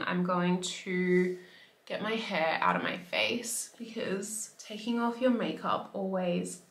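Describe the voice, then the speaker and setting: Young woman beauty vlogger from Australia, chatty and upbeat, a touch raspy from a cold, bright voice in an echoey room. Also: mid-range voice. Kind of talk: casual talk to camera. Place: bedroom by a window.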